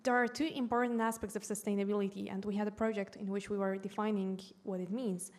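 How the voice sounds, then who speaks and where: A woman whose first language is Polish, an educator commenting in a panel discussion in a measured, explanatory way with small restarts. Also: mid-range voice, little pitch movement, a little mellow.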